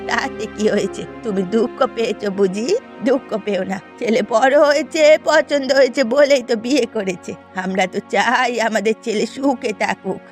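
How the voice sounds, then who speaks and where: Woman playing older village wife and mother, high voice, forgiving, cartoon, music behind. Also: normal speed, close to an everyday voice. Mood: soothing. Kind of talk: Bengali cartoon voice acting.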